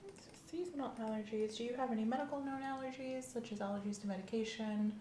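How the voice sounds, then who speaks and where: A woman's voice: a little high, fast, natural voice. Woman playing a courteous doctor, roomy ASMR roleplay. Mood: calm, attentive. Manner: polite, methodical, gently professional questioning. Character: courteous, reassuring female doctor doing a checkup. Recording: roomy sound with faint background noise.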